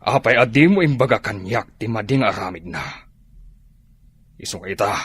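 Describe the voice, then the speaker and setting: A man's voice: lowish voice, lively intonation, moderate pace, fairly bright tone, slightly rough, no echo. A man playing a domineering middle-aged sugar daddy in a radio drama, callous, scornful and emphatic. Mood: scornful, angry.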